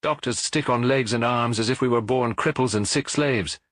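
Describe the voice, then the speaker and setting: A British man voicing a haughty, fiery young modern woman in an audiobook, lofty, brisk and emphatic. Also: mid-range voice, a bit bright, no echo, lightly acted. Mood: lofty, defiant. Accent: British English.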